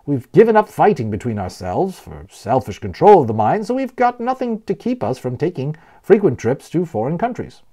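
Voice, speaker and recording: A man's voice: fairly high pitch, sing-song, normal speed, hardly any pauses, mellow, husky. A man voicing a genial, talkative man who explains things proudly and playfully as a guide in a storybook. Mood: proud, informative. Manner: explanatory, emphatic, playfully wordy.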